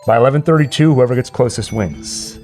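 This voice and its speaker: A man's voice: mid-range voice, a little fast, darkish tone, faint music behind. A man hosting a casual livestream quiz, playful and thinking aloud as he goes. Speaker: host and trivia quizmaster.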